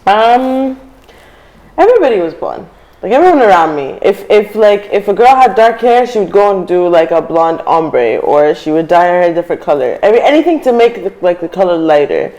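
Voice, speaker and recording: A woman's voice: lowish voice, sing-song, a little fast, dark tone, rough recording. A young adult woman from Syria, a panel guest who tells personal stories in a chatty way with many ums and frequent laughter. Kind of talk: casual panel discussion.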